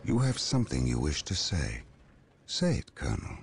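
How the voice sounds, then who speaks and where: An actor playing a stern veteran psychic librarian in a game cutscene, speaking in grave, measured warnings. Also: male voice, very deep voice, sing-song, gravelly, husky, heavily acted. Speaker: game character. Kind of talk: video game cutscene acting. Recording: game cutscene with music underneath.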